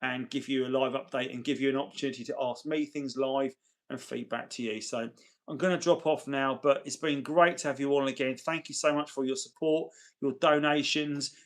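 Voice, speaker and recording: A man hosts a casual livestream at a middling pitch. He sounds tired and a little gravelly. He talks to his viewers in a chummy, grateful way as he reads and answers their messages. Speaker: livestream host.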